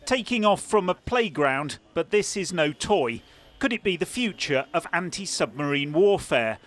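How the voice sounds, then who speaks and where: Male TV reporter, slightly high voice, scripted report narration. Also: fairly bright tone. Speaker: news reporter.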